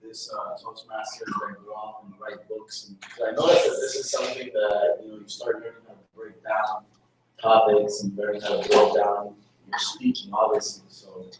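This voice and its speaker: A man in the audience asking a question with hesitant, halting phrasing. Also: on the low side, flat delivery, background noise. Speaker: audience member. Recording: echoey room recorded from a distance.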